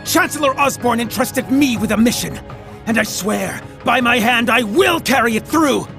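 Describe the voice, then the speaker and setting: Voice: mid-range voice, animated, moderate pace, gravelly, fairly bright tone, soft background music. An actor playing a determined, proud young royal in a video game cutscene, speaking in fervent vows. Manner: fervent, vowing.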